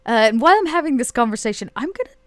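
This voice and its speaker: A woman's voice: sits high, very animated, rapid-fire, a bit bright, no echo. A woman taking part in a tabletop roleplay game, chatting casually as a player about what her character does.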